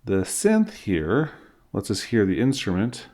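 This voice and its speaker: A man's voice: fairly low pitch, huge pitch swings, a little slow, darkish tone. A middle-aged man giving an informal screen-recorded tutorial, explaining things step by step as an instructor. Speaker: music software instructor.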